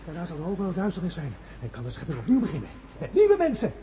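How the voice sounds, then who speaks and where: Shrill, crazed astronaut prophet. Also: male voice, wildly swooping pitch, fast, heavy background noise. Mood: manic exultation. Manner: raving, exultant, breaking into manic laughter. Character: crazed feverish astronaut prophesying a world-devouring monster. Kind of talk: science-fiction radio drama acting.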